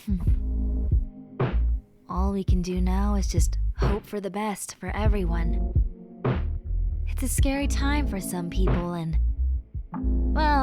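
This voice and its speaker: Prominent music sits behind a woman acting as a young female best friend in an intimate audio roleplay. She vents in an earnest, rambling way, stressed yet caring. Her delivery is very unhurried, at a middling pitch, as if talking directly to one close listener. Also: close to an everyday voice. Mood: upset, earnest. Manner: conversational, sighing, rambling, earnest.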